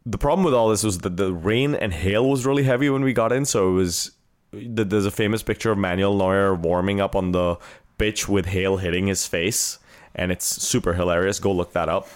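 A man's voice: deep voice, moderate pace. An American man hosting a podcast, chatting informally and with enthusiasm as he recounts things. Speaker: podcast host. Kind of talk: casual chat, recounting a trip.

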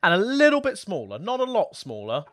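A man's voice: sits high, huge pitch swings, brisk, a little hiss or hum. A young British man chatting casually about tech as a podcast co-host, jokey and self-deprecating, his voice a little strained. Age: young adult. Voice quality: slightly worn, tired voice.

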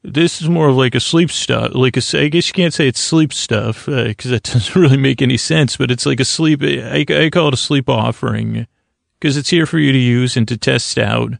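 A man hosting a bedtime podcast in a creaky, lulling voice, meandering and halting as he blathers soothingly. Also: medium pitch, no room sound, clean studio sound. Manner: meandering, halting, repeats himself. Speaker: host of a bedtime story podcast. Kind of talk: rambling bedtime monologue, soothing.

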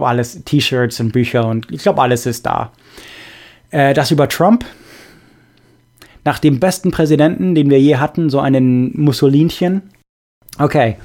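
A young man hosting a podcast, reading listener messages in a relaxed, halting way with many fillers. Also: mid-range voice. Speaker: podcast host. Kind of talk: casual podcast chat reading listener mail.

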